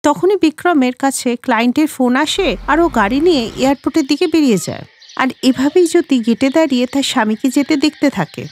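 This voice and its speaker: High-voiced woman narrating a cartoon story. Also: brisk.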